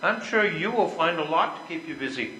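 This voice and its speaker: A man's voice: on the high side, lively intonation, brisk, pushed and bright. An older man playing a proud, work-absorbed husband in amateur readers theater, speaking somewhat formally. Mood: formally apologetic. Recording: echoey, noisy room recording.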